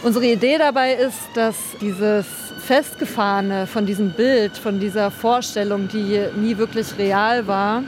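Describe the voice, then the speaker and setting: A woman guides a tour group and explains things with pauses. She speaks at a mid pitch. Clear train and street noise can be heard behind her in this field recording. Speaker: theatre maker and tour guide. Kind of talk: guiding a tour. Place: on a city train.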